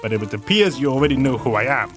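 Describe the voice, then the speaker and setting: A man's voice: mid-range voice, expressive, fast talker, natural voice. A man voicing a menacing, taunting villainous stranger with a mock-polite manner in a melodramatic radio drama. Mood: threatening. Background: music.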